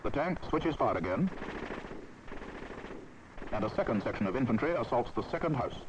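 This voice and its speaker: A man's voice: mid-range voice, big pitch swings, moderate pace. A man with a British accent giving formal, authoritative, precisely enunciated scripted narration for a military training film. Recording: dull old film soundtrack, background noise.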